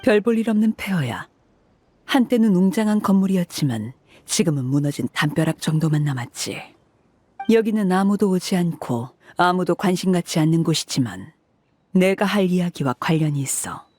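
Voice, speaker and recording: A cold, low, composed commanding woman. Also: lively intonation, husky. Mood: cool, businesslike. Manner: measured, detached, authoritative, deliberate. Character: cold, composed commanding woman harbinger recounting a grim past. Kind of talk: scripted video game voice acting. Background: soft background music.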